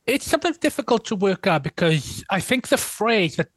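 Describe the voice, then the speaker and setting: A man's voice: sits high, big pitch swings, fairly quick, breathy edge, dry recording. Welsh man musing with many restarts. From Wales. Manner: thoughtful, hedging, frequent restarts. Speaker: guest historian. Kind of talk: casual interview conversation.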